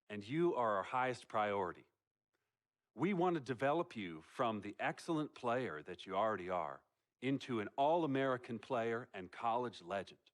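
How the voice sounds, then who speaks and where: Guy playing an eager college coach in a game, earnest, really animated pitch. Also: close to an everyday voice. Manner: earnest, enthusiastic. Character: earnest college coach courting a star recruit.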